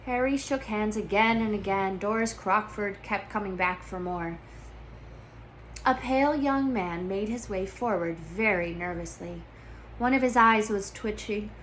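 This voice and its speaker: A woman's voice: medium pitch, unhurried, a bit bright, a little hiss or hum, low-quality recording. A woman reading a storybook aloud expressively, as a storyteller to listeners.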